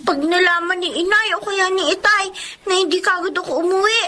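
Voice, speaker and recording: A very high, bright girlish voice plays a dutiful, cautious schoolgirl in a radio drama. She speaks politely and deferentially, over faint background noise. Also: brisk. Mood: worried, reluctant. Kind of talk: Tagalog radio drama acting.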